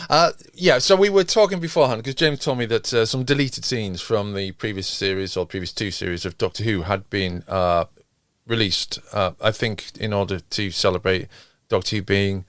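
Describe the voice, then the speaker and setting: A man with a fairly low voice chats casually as a podcast co-host. His phrasing is wry and a little hesitant, and the sound is dry, with no room echo. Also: fairly bright tone. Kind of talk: casual chat.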